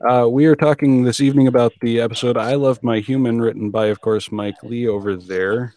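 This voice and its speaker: Casual male podcast host, medium pitch. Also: steady tone, fairly quick, warm tone. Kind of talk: casual panel interview.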